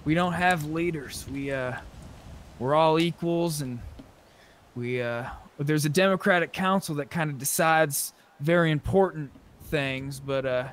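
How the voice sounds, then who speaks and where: A man's voice: fairly high pitch, a bit bright. A man talking casually in roleplay over online game voice chat. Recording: game voice chat, noisy background.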